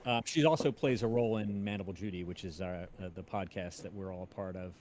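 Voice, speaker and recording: A man hosting a casual interview, enthusiastic but halting as he circles toward his point. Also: sits low, a crowd in the background. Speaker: podcast host and interviewer. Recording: clearly noisy ambient background.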